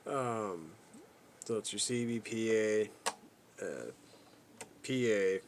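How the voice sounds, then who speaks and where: Low-voiced man, halting casual gear walkthrough. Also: background noise. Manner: halting, low-key, rambling. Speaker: radio reviewer, video host. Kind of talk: casual unscripted product walkthrough.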